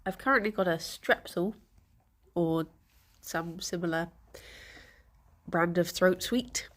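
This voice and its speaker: A young woman streamer with a congested, bunged-up voice, chatting softly with viewers and laughing often. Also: on the low side, slow. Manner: soft, chatty, laughs often, greets chat by name. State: congested, stuffy nose. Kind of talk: casual morning chat with viewers.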